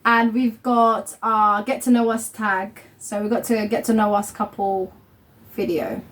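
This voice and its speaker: A woman's voice: medium pitch, steady tone, unhurried. A young woman of Somali family hosting a casual couple vlog at home, chatty and rambling, with lots of fillers and laughter. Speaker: vlog host. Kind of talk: casual chat.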